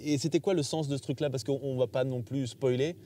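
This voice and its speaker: A man from France hosts a relaxed interview, firing off quick, chatty questions. His voice is mellow and warm, and his words come out fast. A faint noise sits behind him. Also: mid-range voice. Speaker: host and interviewer. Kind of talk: casual interview conversation.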